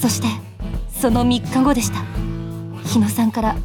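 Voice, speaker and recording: A woman's voice: a little high, steady tone, moderate pace, over music, close to an everyday voice. A woman playing an anxious, earnest young woman who sees three seconds ahead and narrates her own story in a radio drama. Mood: dramatic narrating. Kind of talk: radio drama acting with first-person narration.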